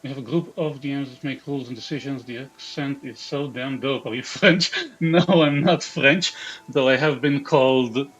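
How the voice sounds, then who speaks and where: A man's voice: mid-range voice, normal speed, fairly bright tone. A man from Israel with an Israeli accent, streaming live, reading chat aloud and muttering half-sentences to himself as he builds a game character. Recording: dull lo-fi stream audio.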